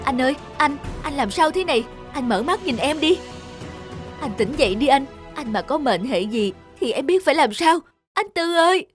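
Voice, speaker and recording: A woman's voice: high-pitched, expressive, moderate pace. Modest young village woman for animation: solicitous, polite, music behind. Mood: frightened concern. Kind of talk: cartoon dub acting.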